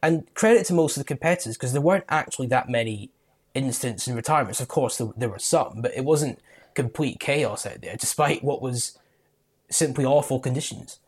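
A young Scottish man speaks in a medium-pitched voice with only a light accent. He gives casual, conversational commentary as a podcast guest. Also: brisk, husky. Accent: mild Scottish. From Scotland. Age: young adult. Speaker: motorsport writer, podcast guest.

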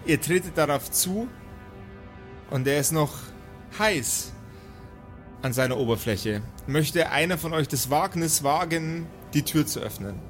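A man's voice: a little high, animated, a little slow. Male game master with music behind. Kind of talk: improvised tabletop game narration.

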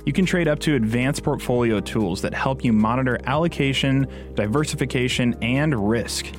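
A man's voice: medium pitch, moderate pace, music in the background. A man reading a scripted advertisement in a polished, upbeat sales delivery. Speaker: ad announcer. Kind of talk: scripted ad read.

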